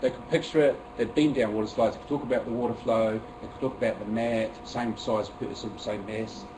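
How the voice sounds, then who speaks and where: A man's voice: on the low side, steady tone, brisk, distant mic, noisy background. A man with a New Zealand accent presenting a teaching method to a room of fellow teachers, chatty and self-deprecating, with many fillers and restarts. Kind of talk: workshop presentation. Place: room with an audience.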